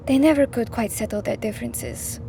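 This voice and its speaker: A woman's voice: on the high side, a little fast, dark tone, faint music behind, natural voice. A woman playing an anxious, protective middle-aged mother with earnest, emotional delivery in an audio drama. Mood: gentle, sad.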